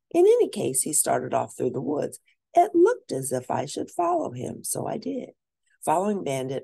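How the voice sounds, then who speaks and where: A woman reading a children's story aloud as an expressive storyteller, lingering deliberately on key words. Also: low voice, gravelly, no room sound, clean studio sound.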